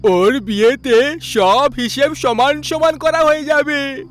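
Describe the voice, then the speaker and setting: A carefree young man , put on by a male narrator, pitched up very high and full of boisterous energy. He talks to his pals in an exaggerated cartoon-story way. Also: slow. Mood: boisterous, cheerful. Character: excitable young man, carefree wedding-bound friend. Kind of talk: animated story dialogue.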